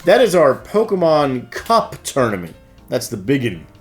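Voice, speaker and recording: Animated man co-hosting casual news. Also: mid-range voice, measured pace, faint music behind. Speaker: co-host of a game store news show. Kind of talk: casual banter and event news.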